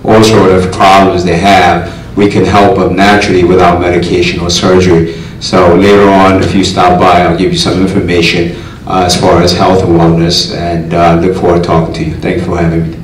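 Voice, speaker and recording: A man's voice: low-pitched, monotone, brisk, few pauses. A man from Ethiopia, a health practitioner, introducing himself to a room with a joke or two. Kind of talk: self-introduction to a group. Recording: echoey room, distant mic, faint noise.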